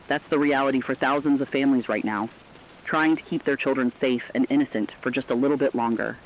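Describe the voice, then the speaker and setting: A man's voice: mid-range voice, steady tone, a little fast, lightly acted. A man playing a somber, compassionate radio correspondent in an apocalypse drama, giving scripted news commentary with earnest, emotive storytelling. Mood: somber, concerned. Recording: dull lo-fi radio sound, faint noise.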